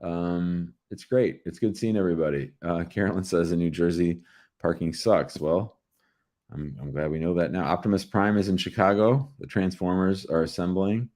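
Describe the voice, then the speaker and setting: A man with an American accent and a distinctly low voice hosts a live show. He talks casually and informally to callers and to the people watching. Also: fairly even intonation, normal speed, a little mellow. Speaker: host of a live call-in show.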